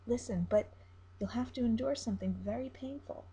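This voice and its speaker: A woman's voice: a little high, fairly quick, mellow, slightly rough. A woman voicing a manipulative male ship captain in a story, coaxing and persuasive. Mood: firm persuasion.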